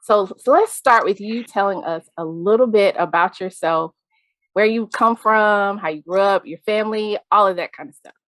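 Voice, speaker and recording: A woman hosting a podcast interview in casual chat, bubbly and quick to laugh. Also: medium pitch, a bit bright, no echo. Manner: bubbly, laughs often, addresses listeners as y'all. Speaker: podcast host.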